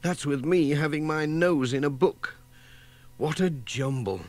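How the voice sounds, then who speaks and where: A man's voice: fairly high pitch, unhurried, a little mellow, pitched above the performer's own voice, natural voice. A man voicing an easygoing farmer's wife, a homely mother in a children's storybook. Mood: apologetic, fussing.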